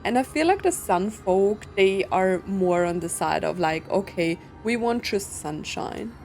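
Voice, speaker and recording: A woman's voice: medium pitch, lively intonation, measured pace, a little mellow, soft background music. A woman giving casual game commentary, thinking aloud in a puzzled way with lots of filler words. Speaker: streamer playing a story game.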